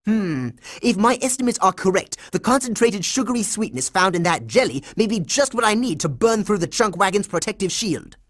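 A man's voice: high voice, very animated, fairly quick, hardly any pauses, fairly bright tone, full character voice. A man voicing a bossy, heroic boy secret agent in a cartoon video game, dramatic and emphatic with a smug confidence. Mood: determined, musing.

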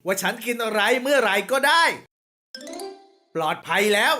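Woman voicing a bright little city mouse. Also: medium pitch, expressive, normal speed, faint music behind. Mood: self-assured. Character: small city mouse, proud of luxurious living. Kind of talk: children's cartoon dialogue.

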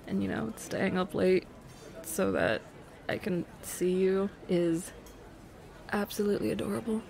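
A woman's voice: medium pitch, measured pace, gravelly, darkish tone. A woman playing a playful, affectionate secret girlfriend in an intimate roleplay audio, hesitant and trailing off as she teases. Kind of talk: intimate roleplay audio, casual improvised-sounding chat. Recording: noticeable cafe ambience noise behind.

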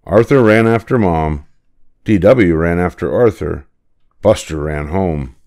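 A man's voice: low-pitched, measured pace, a little mellow. An older man reading a children's picture book aloud as narrator. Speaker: storybook reader.

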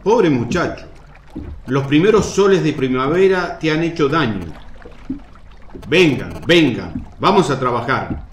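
An actor playing a gruff, skeptical, bad-tempered fisherman in a radio drama, mocking and dismissive. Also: on the high side, lively intonation, measured pace. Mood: scornful. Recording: roomy, faint noise, water ambience.

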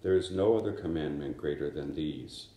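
Man, priest, very deep mellow voice, relaxed homily, small echoey chapel. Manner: conversational, unhurried preaching with gestures. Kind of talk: homily at daily Mass. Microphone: camera in front of him.

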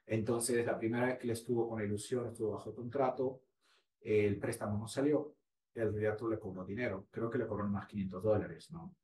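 A man in his forties explaining home buying on a video call, with steady explanatory talk that he tags with "¿no?". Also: on the low side. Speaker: real estate agent. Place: small room at home. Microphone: laptop webcam. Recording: roomy, distant mic with faint hiss.

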